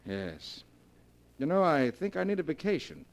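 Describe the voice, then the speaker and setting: An actor playing a cold, bitter husband scheming to be free, in melodramatic 1940s radio horror style. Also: male voice, a little high, huge pitch swings, normal speed. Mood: feigned calm. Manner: melodramatic, emphatic. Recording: noisy old 1940s radio recording.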